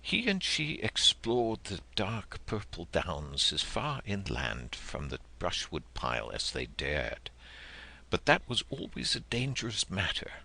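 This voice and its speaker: Male audiobook narrator for classic fiction: low-pitched, gravelly, measured and expressive. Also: low-quality recording. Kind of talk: dramatic literary audiobook narration.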